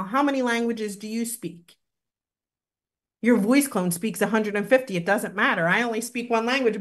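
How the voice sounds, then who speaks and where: A woman in her thirties hosting a webinar from home over a video call, presenting a product with excited, gushing talk and many fillers. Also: fairly high pitch. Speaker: webinar host. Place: home room, indoors. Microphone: video call webcam.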